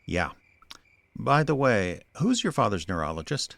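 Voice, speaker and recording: An actor playing a wry, weary male private investigator, deadpan and sardonic, in radio drama narration and dialogue. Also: lowish voice, measured pace, vocal fry, no echo, heavily acted. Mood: dry, probing. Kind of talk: first-person noir narration and dry radio drama dialogue.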